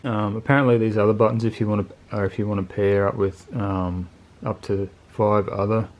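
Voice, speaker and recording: A man's voice: on the low side, flat delivery, brisk. A man giving a casual, unscripted product review in a laid-back, colloquial, plain-spoken way. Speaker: motorcycle video channel host reviewing gear.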